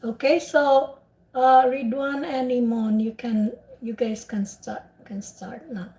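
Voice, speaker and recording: Slow-speaking woman hosting a practice session. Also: fairly high pitch, steady tone, mellow, reverberant, poor audio. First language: not English. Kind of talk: online practice session chat.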